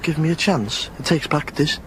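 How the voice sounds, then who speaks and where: A man's voice: a little high, normal speed, fairly bright tone, lightly acted. Teen boyfriend voiced by a man, playful, coaxing, faint noise. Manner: playful, persuasive. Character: cheeky teenage boyfriend, coaxing.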